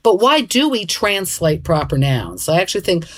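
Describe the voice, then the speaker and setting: Lively low-voiced woman co-host. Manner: wry, playful, quick to interject. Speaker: podcast co-host. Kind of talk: casual chat and reading listener mail.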